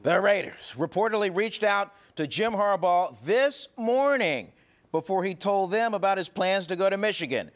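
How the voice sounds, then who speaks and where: A man's voice: sits high, animated, normal speed. A man anchoring a television sportscast with a punchy, playful delivery. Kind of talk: lively sportscast, scripted narration. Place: TV news studio.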